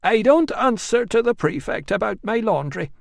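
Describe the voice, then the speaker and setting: A woman's voice: medium pitch, animated, moderate pace, gravelly, dry recording, studio quality, voice pushed up. A man doing a prim female housekeeper.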